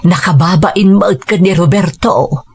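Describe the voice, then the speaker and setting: A woman playing a worried older mother who gently admonishes her child in an audio drama, with a Filipino accent and a husky, creaky voice. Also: lowish voice, a little slow, full character voice. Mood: concerned. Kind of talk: Ilocano audio drama acting.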